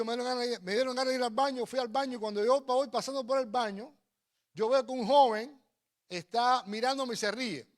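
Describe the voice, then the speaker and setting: A man preaching and telling personal stories in an emphatic, exhorting way, repeating phrases. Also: extremely high pitch. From Cuba. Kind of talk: sermon with personal storytelling.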